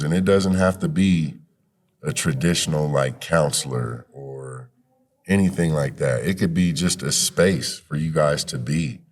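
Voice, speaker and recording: A man speaking as a podcast guest and youth activist in a conversational, motivational way, encouraging and often saying "you know". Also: extremely low pitch.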